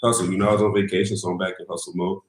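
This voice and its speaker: An upbeat man from New Orleans co-hosts a casual podcast, his voice a little raspy today. He talks in a fairly low register and laughs easily. The room around him is echoey. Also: level tone, very fast, a little hiss or hum. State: slightly raspy voice. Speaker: podcast co-host, realtor. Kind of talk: casual chat.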